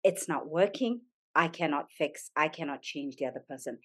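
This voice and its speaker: A woman's voice: sits low, moderate pace, fairly bright tone, husky. A middle-aged woman from Zambia, a yoga studio owner and podcast guest, speaking earnestly and thoughtfully with frequent restarts. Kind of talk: reflective conversational interview.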